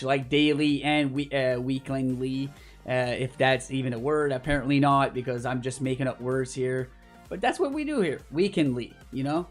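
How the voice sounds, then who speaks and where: A man from Canada hosting a gaming livestream, chatting casually in a rambling, jokey way. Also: mid-range voice. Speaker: livestream host. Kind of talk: casual gaming stream chat. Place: at home in an apartment, live voice chat. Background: background music.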